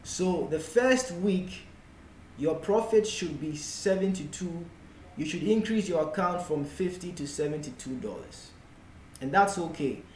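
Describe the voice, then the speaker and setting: Male trading tutor, Ghanaian accent, fairly high voice, unhurried and deliberate delivery, explaining off the cuff. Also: expressive, darkish tone. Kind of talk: explanatory tutorial talk, unscripted. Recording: echoey room with faint background noise.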